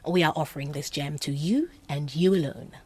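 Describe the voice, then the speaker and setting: A woman's voice: sits low, moderate pace, darkish tone, husky. An actress playing a self-assured British female con artist in a radio drama, poised, persuasive and businesslike. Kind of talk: naturalistic radio drama acting.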